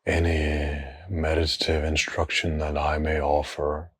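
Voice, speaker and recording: A man guides a meditation in an exceptionally deep voice with a breathy, husky grain. His intonation stays very even and his delivery is slow and hushed. He sets out short phrases and leaves long pauses between them.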